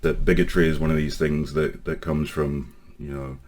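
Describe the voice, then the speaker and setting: A man in his forties from Northern Ireland with a mostly English accent, telling his life story to camera in a halting way, with many pauses and restarts. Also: a bass voice, level tone, darkish tone. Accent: English with Northern Irish traces. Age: 40s. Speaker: online safety vlogger.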